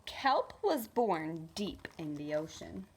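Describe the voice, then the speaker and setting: A woman reading a children's storybook aloud like a teacher, in an expressive, deliberate storytelling manner. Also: on the low side, noisy background.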